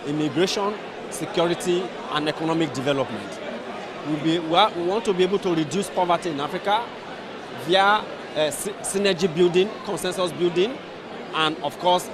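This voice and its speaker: A man from Nigeria, an organization director listing points in a steady, formal way. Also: a little high. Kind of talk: vox pop interview.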